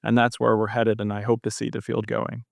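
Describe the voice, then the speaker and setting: A man's voice: lowish voice, monotone, a little fast, creaky, no echo, clean studio sound. A man speaking as a podcast guest, a researcher explaining his field in an interview answer.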